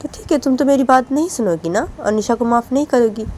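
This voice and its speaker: A woman voicing a middle-aged mother who scolds her grown daughter loudly, with faint noise behind. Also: fairly low pitch, fairly quick, gravelly. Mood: scolding. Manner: loud, nagging, scolding.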